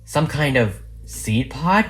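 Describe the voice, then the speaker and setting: A wary cartoon pony detective voiced by a narrator, playing her as female mutters observations. The voice is mid-pitched and deadpan, with music behind. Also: slow pace. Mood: wary, curious.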